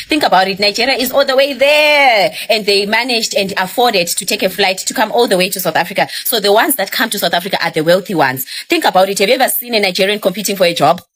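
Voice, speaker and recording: A woman's voice: medium pitch, a bit bright. A woman from Zimbabwe making an earnest, persuasive appeal as a community organizer, rallying her listeners.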